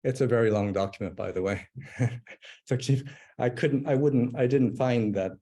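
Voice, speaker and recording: A man's voice: medium pitch, normal speed, warm tone, breathy edge. A man presenting a live webinar demo, thinking aloud as he types, with frequent fillers and restarts. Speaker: presenter demonstrating software.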